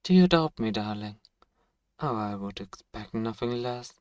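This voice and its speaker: A man's voice: lowish voice, animated, darkish tone, clear, resonant tone, dry recording. A man playing a centuries-old, possessive, dominant vampire in an ASMR roleplay, speaking in formal, condescending, menacing phrases on a close microphone. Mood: taunting, seductive menace. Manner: silky, menacing, condescending, drawn-out formal phrasing with pet names. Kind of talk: ASMR roleplay audio drama. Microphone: close microphone, dry.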